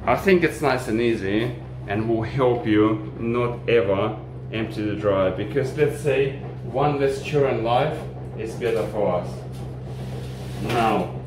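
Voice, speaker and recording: A man in his forties giving a casual, unhurried how-to demonstration, with halting restarts, filmed on a phone at arm's length in a small laundry room. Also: mid-range voice. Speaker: home appliance video maker. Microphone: phone camera on selfie stick at arm's length. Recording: echoey room with washing machine noise.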